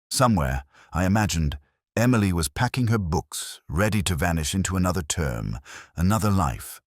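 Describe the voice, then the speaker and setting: A man playing a weary, erudite police inspector, narrating his case in a measured, melancholy, sardonic way as a literary audio drama. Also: a bass voice, no echo, clean studio sound, heavily acted.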